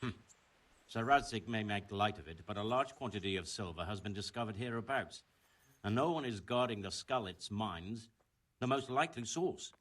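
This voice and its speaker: A man voicing a serious, impatient chief engineer in a medieval video game, speaking in a brisk, formal, businesslike way. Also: fairly low pitch, lively intonation, a bit bright. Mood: concerned, instructing. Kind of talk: scripted video game dialogue.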